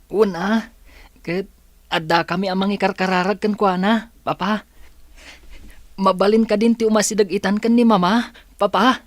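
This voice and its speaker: A woman's voice: medium pitch, fairly even intonation, moderate pace, long pauses, no echo, close to an everyday voice. A woman playing an earnest youngster worried about a parent in an Ilocano radio drama. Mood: anxious, hopeful.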